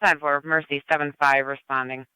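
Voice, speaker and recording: A man's voice: on the high side, flat delivery, a little fast, bright, forceful tone. A man working as a fire and EMS dispatcher, reading formal, methodical announcements over two-way radio. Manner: methodical, repeats details. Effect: two-way radio transmission. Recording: dull band-limited scanner radio.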